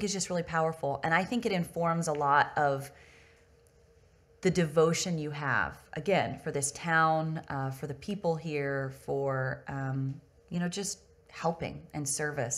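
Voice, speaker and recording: Low-voiced woman interviewer, effusive and conversational. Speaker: interview host.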